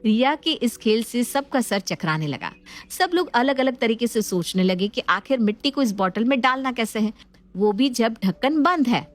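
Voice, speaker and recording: A woman narrating a family story in a steady, explanatory way. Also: on the high side, normal speed.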